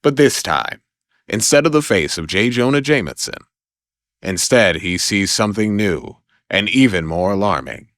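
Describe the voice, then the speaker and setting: A man's voice: on the low side, expressive, moderate pace, fairly bright tone, no echo. A man narrating scripted action in a dramatic audio drama style.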